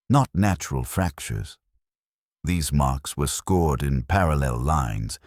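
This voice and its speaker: A man narrating a dramatic first-person story in a grave, measured manner, with short deliberate phrases. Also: rumbling low, no room sound, clean studio sound.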